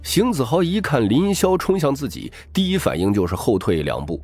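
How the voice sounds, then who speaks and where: A man's voice: medium pitch, big pitch swings, normal speed, darkish tone, slightly rough, soft background music. A man narrating scripted fiction as a dramatic audiobook reader.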